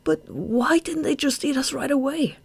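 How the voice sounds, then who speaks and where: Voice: medium pitch, a little slow, vocal fry, no room sound, pitched above the performer's own voice. A storybook narrator voicing a frightened, guilt-ridden young male bat with urgent, emotional outbursts. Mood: troubled.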